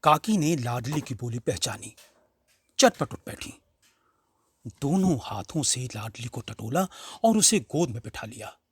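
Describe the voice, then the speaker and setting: A man narrating a literary story in a measured, expressive, dramatic reading style. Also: mid-range voice, husky, no echo, studio quality.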